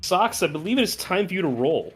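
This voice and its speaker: A man's voice: high voice, big pitch swings, brisk, breathy edge, soft background music. A man acting as game master, casually recapping plans and explaining rules at a tabletop game.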